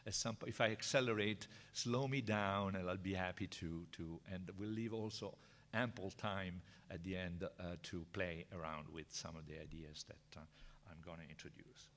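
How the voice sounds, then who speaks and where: A man's voice: deep voice, fairly even intonation, normal speed, a bit bright. An older man with an Italian accent gives a university seminar lecture, hesitant and chatty, with many fillers and restarts. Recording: reverberant lecture hall.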